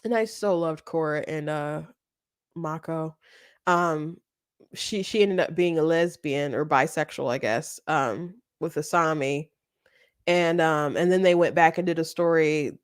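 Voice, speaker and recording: A woman hosting a commentary show, talking off the cuff in a casual, halting, rambling way. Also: low voice, clean tone, dry recording, clean studio sound. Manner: halting, rambling, often breaks into laughter.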